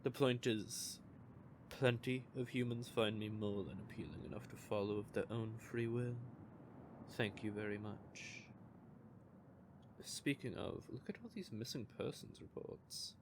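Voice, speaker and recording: A man's voice: lowish voice, a little slow, background noise. Man playing a smug teasing vampire. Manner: teasing, smug, playfully menacing. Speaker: vampire character in an audio roleplay. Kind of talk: audio roleplay monologue.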